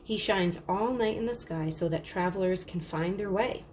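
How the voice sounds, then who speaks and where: Woman voicing a calm older sister, noisy recording. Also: on the low side, moderate pace, close to an everyday voice. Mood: calm storytelling. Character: practical, caring older sister, a girl telling tales. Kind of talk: storybook character dialogue. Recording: clearly noisy background.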